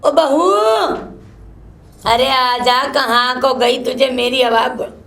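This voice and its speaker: A woman playing a shrill, cursing old mother-in-law who keeps scolding her daughter-in-law. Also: little pitch movement, measured pace, a bit bright. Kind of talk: comic one-woman sketch.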